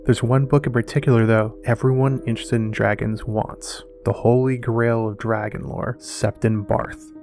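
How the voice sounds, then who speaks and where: A man delivers scripted narration in the manner of an analytical video essay. His voice sits at a middling pitch for a man, and soft music plays behind him. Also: level tone, moderate pace, few pauses. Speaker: host of a fantasy lore analysis show.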